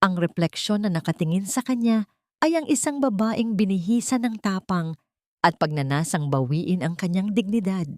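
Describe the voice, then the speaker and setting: A woman's voice: medium pitch, lively intonation, moderate pace, no room sound. A woman reading a dramatic scripted story as its narrator.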